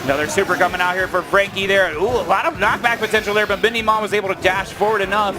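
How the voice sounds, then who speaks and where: A man giving excited, exclamatory live play-by-play commentary as an esports commentator. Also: high-pitched, fast talker, noisy background. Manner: exclamatory, hyped. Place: live tournament venue. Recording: loud event hall, crowd and music. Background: cheering crowd and music.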